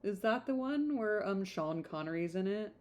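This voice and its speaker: A woman's voice: medium pitch, lively intonation, normal speed, darkish tone. A woman podcast host reading a classic novel aloud in an informal way, with chatty, conversational asides. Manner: conversational, rambling off on tangents in asides.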